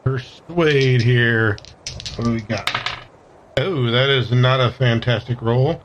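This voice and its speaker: A man's voice: mid-range voice, slow, muffled recording, noisy background. A man talking casually as a player in a tabletop game, tentatively thinking his moves through aloud.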